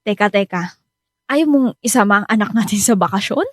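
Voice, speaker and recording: A woman's voice: mid-range voice, lively intonation, fairly quick, no room sound, natural voice. A woman acting a protective, stubborn young wife and mother with a Filipino accent in a radio drama. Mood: hesitant. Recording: studio drama over background music.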